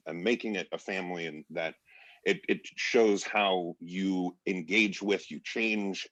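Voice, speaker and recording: Deep, husky man thinking aloud. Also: no room sound, dull audio. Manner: rambling, searching for words. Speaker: actor in a stage play. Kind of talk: unscripted panel discussion.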